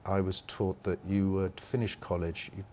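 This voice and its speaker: A man with an English accent and a fairly low voice talks as an interview guest. He rambles through personal stories, often breaking off and restarting. There is faint noise and ambience behind him. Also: level tone, normal speed, a little mellow. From England. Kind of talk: conversational interview, personal anecdotes.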